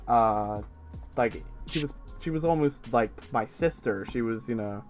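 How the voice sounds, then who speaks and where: A man's voice: medium pitch, measured pace, faint music behind. A man in his twenties chatting casually on a stream, rambling with many fillers and restarts. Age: 20s. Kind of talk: casual chat.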